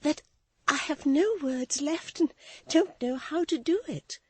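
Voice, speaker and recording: Woman doing a soft, gentle young lady. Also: high-pitched, lively intonation, measured pace, dry recording, pitched above the performer's own voice. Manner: soft, soothing.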